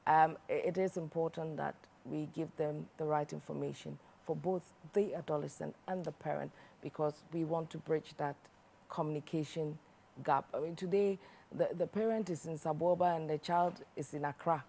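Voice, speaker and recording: A woman's voice: low voice, fairly even intonation, normal speed, gravelly, dark tone, slight background noise. A woman hosting a studio talk show, closing the episode and addressing viewers directly.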